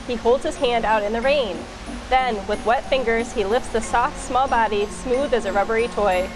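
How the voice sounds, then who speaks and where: A woman reading a children's picture book aloud in an expressive storytelling style. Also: fairly high pitch, normal speed, over music, poor audio.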